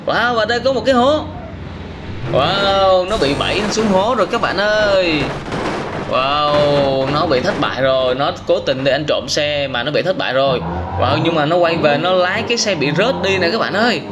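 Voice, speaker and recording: A man with a fairly high voice narrates game action like a story for kids, full of exclamations. He speaks in simple phrases as a reaction host. The recording is clearly noisy, with vehicle sounds behind him. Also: moderate pace. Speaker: host of gaming reaction videos for children. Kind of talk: excited storytelling commentary over game footage.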